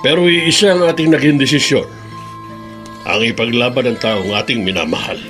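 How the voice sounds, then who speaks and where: A folksy father, voiced by an actor, speaks gently and supportively in a mid-range voice. Loud music accompanies the drama throughout. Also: mid-range voice, normal speed, natural voice. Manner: folksy, gentle, uses fillers like "eh" and "ah". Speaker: Jester's father.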